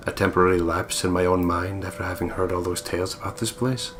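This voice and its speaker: A man reading visual novel text aloud as a deliberate, storyteller-like narrator. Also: deep voice, fairly even intonation, fairly bright tone, slight background noise. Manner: deliberate, storyteller-like, playful putting on of voices. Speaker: gaming video co-player reading the text aloud. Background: game music.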